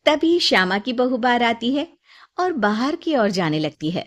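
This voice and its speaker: Cartoon story narrator: female, high register, expressive scripted delivery. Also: normal speed.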